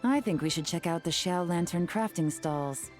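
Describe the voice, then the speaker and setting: Low, mellow, cool-headed woman investigator, played by an actress. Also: faint music behind, natural voice. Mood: probing. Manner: measured, wry, unhurried. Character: cool, composed, teasing young woman investigator. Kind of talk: video game voice acting.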